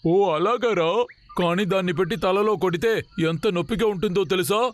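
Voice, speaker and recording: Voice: medium pitch, brisk, lightly acted. An actor voicing an eager, childlike animal younger brother in a children's cartoon dub. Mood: curious. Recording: cartoon music underneath.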